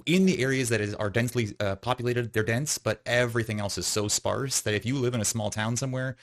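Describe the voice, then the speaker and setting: A man hosting a podcast, talking in a relaxed, friendly way with many fillers. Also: medium pitch, quick pace. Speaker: podcast host. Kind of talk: casual interview chat.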